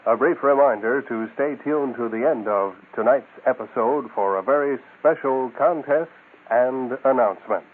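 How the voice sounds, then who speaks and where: Casting: male radio host, mid-pitched, scripted announcing, thin narrow-band broadcast sound. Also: normal speed, slight background noise.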